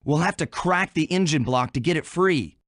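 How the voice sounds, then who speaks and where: A man's voice: on the high side, moderate pace, fairly bright tone, no room sound, clean studio sound, lightly acted. A man voicing a suave young animal thief in a cartoon video game, wry, casual and unflappable. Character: suave, laid-back, wisecracking young male animal thief.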